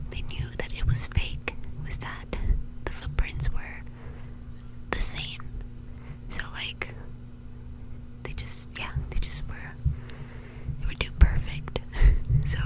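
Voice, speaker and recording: A woman's voice: takes it slowly, pauses often, rough recording. A woman doing whispered ASMR storytelling in an informal, conversational way, close to the microphone. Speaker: ASMR video creator and host.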